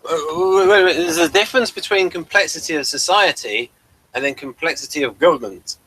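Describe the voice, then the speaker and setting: A man with a somewhat high voice and a bright, sharp edge talks in an informal discussion. He lays out his opinions in an explanatory way, restarting and repeating phrases as he builds each point. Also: a little fast, slightly rough, poor audio. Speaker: podcast reviewer and discussion panelist. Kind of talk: casual discussion.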